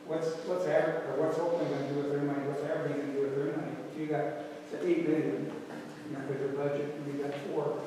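Audience member, probing questioner, slightly high and flat, distant in a roomy hall. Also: male voice, quick pace. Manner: probing, presses for clarification. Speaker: resident asking questions. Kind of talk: questions at a public meeting. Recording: echoey hall, distant mic, background noise.

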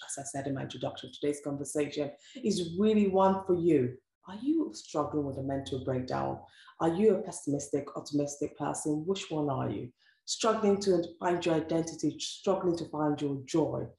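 A woman's voice: low-pitched, fairly quick, creaky, dark tone. A woman hosting an interview show, talking effusively and asking rhetorical questions. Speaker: host. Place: room with sofa, indoors. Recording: echoey room with faint music.

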